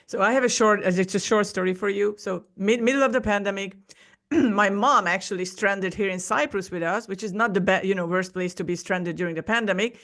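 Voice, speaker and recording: A woman's voice: medium pitch, a little fast, fairly bright tone. A woman in her forties from Hungary, a native Hungarian speaker with a Hungarian accent, chatting casually as a podcast guest and often restarting her sentences. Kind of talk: casual interview talk.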